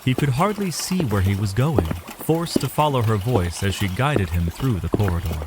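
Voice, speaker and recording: A man's voice: fairly low pitch, animated, moderate pace, very noisy. A man narrating a scripted fantasy story as a literary, dramatic storyteller.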